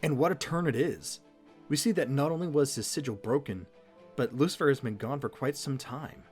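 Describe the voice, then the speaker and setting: A man's voice: mid-range voice, moderate pace, soft background music. A man co-hosting a fan recap show in casual, scripted commentary.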